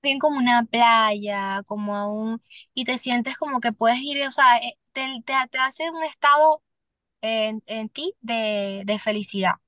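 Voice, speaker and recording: A young Venezuelan woman, a singer-songwriter, speaking candidly as a podcast guest, earnest and halting with restarts. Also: fairly high pitch, a bit bright, no echo. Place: Miami.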